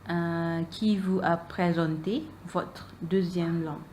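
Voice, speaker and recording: A woman's voice: fairly low pitch, fairly even intonation, slow, a little mellow, slight background noise. A young woman, a non-native speaker whose first language is Malay, asking interview questions hesitantly, with many fillers and repeated answers. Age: young adult. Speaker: student interviewer.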